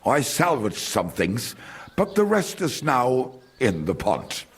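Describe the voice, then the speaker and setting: An actor playing a grumbling, condescending village merchant in a video game, with a German accent and German phrases slipped in. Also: male voice, mid-range voice, huge pitch swings, slow pace, breathy edge, full character voice. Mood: rueful. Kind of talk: video game character dialogue.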